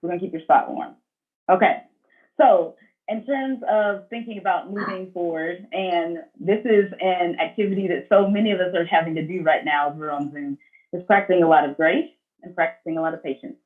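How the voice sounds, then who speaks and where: A woman moderating a panel discussion on a video call, speaking in a measured, affirming way. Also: on the low side, narrow, tinny audio. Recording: thin, band-limited video call audio.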